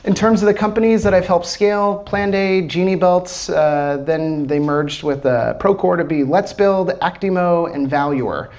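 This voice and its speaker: A man's voice: high voice, normal speed, hardly any pauses, a little mellow, lo-fi sound. An American man from Washington, D.C., a startup founder giving a casual live presentation to a small audience, talking loosely with lots of fillers and casual swearing. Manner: loose and chatty, many fillers, swears freely.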